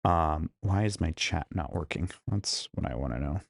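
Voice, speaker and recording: Low gravelly man losing his voice. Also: lively intonation, moderate pace, dry recording, studio quality. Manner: chatty, greets viewers one by one. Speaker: live-stream host. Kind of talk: casual live-stream chat. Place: hotel room. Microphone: studio microphone plugged into a laptop.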